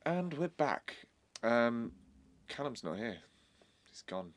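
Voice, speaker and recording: A man's voice: medium pitch, sing-song, takes it slowly, faint music behind. A man co-hosting a film podcast in a casual, teasing chat. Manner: teasing, playful. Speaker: podcast co-host.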